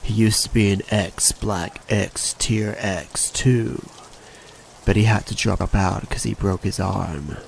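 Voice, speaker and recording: A man voicing a suave, reassuring young goth villain in a cartoon, with a low, gravelly voice and a calm manner. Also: slow pace, slight background noise. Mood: explaining.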